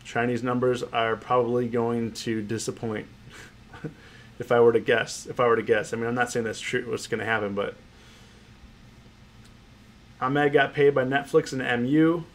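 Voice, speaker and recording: A man's voice: mid-range voice, steady tone, moderate pace, pauses often. Male stream host, nasal from a head cold, loose casual patter that circles back on itself. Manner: rambling, repeats phrases, greets viewers by name. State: stuffy nose from a cold. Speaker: options trading livestream host. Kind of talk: casual livestream commentary, reading chat.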